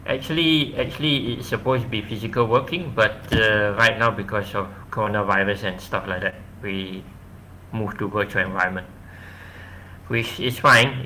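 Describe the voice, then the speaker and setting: A man's voice: fairly low pitch, steady tone, moderate pace, bright, forceful tone, a little hiss or hum, poor audio. A man with a Malaysian English accent, chatting casually as the presenter of an online event, with many fillers, on a laptop mic.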